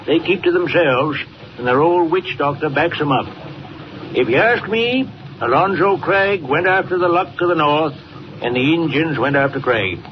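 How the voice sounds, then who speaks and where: A man acts out an old icebreaker captain for a 1940s radio serial. He is folksy and superstitious, with rustic dialect and a high-pitched voice. The sound is dull and thick with old broadcast noise. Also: expressive, moderate pace, lightly acted. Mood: folksy, wary. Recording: muffled, very noisy 1940 radio recording.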